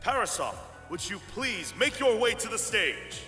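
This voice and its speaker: A showy awards host in a visual novel, high and bright, in a big echoing hall. He announces with excitement and wide swings of intonation. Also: a little slow, full character voice. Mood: formal. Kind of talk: ceremony announcement. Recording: echoing hall, music underneath.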